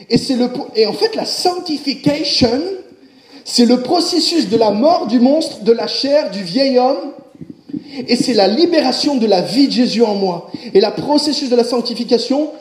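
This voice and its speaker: A man preaching a sermon, speaking directly to his congregation in an animated way. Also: very high voice, reverberant. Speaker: pastor.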